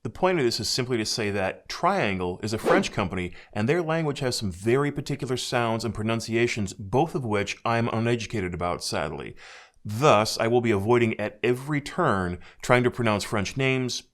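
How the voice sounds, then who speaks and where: An American man narrating a scripted hi-fi speaker review in a measured, dryly self-deprecating way. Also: lowish voice. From United States. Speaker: audio reviewer.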